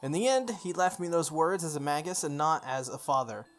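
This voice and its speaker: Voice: medium pitch, brisk, soft background music. A game playthrough host expressively reading story text aloud, stumbling and correcting along the way, with off-the-cuff asides.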